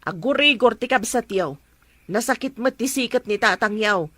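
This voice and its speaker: A woman's voice: fairly high pitch, normal speed, fairly bright tone, no room sound. A middle-aged woman with an Ilocano accent playing a firm, nagging farm mother in an amateur family drama. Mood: firm insistent. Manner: insistent, scolding.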